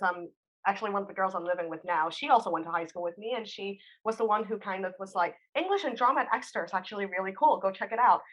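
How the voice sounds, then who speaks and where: A woman's voice: mid-range voice, a bit bright, rough recording. A young woman student casually telling personal stories, acting out bits of conversation as she goes. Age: young adult. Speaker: student panelist.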